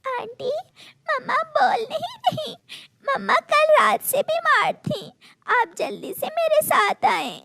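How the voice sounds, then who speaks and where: Small girl bird, cartoon played by a woman: very high pitch, pleading, tearful, protective elder sister. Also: expressive, slow, creaky, fairly bright tone, dry recording, full character voice. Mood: anxious, tearful. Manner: pleading, plaintive.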